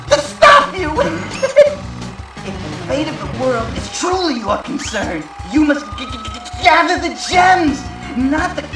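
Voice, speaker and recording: Very high, stammering mad scientist. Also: male voice, heavily acted. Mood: manic, threatening. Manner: halting, stammering, erratic. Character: unhinged, stammering mad scientist rival with manic giggles. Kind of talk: video game cutscene voice acting. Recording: roomy, distant, music underneath. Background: music.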